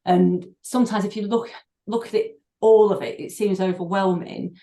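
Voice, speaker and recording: A middle-aged woman, a doctor and breathing therapist, speaking in a podcast interview, thoughtful and halting with many fillers and restarts. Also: mid-range voice, steady tone, mellow. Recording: echoey room.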